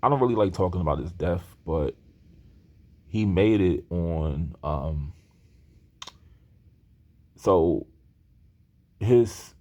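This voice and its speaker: A man's voice: low-pitched, expressive, takes it slowly, pauses often, mellow, dull audio. A man in his thirties talking in a rambling personal monologue, earnest and hesitant, with many restarts. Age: 30s. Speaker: aspiring screenwriter talking to camera.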